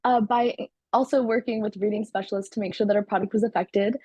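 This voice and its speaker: Fast-talking teenage girl, husky and dry. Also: medium pitch, fairly even intonation, dark tone, no echo. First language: Turkish. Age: teens. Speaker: student company CEO. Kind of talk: interview answers.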